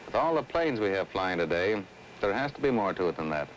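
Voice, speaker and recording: An earnest 1960s American air traffic official in an educational film. His low voice moves in lively swings as he explains things in measured, affable fashion. The old soundtrack carries faint noise. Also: rough recording. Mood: explaining. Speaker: actor as air traffic specialist. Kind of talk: scripted 1960s educational film dialogue and narration.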